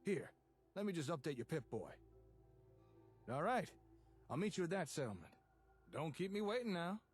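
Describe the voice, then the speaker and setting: An actor playing an eager, friendly inventor in a video game, upbeat and conversational, with a husky voice. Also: male voice, a little high, very animated, normal speed, faint music behind. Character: eager, friendly male inventor showing off settlement tech.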